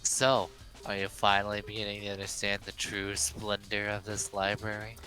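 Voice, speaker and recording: A man's voice: fairly low pitch, completely flat delivery, moderate pace, bright, forceful tone. A man playing a haughty, condescending rich young man, cold and pompous, in an amateur game dub. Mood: smug pride. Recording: faint music behind.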